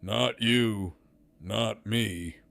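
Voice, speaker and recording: A man playing a smug, menacing gang leader in an audio drama, with a sardonic, profane, deliberate drawl. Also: fairly low pitch, gravelly, a bit bright, full character voice. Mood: smug, commanding.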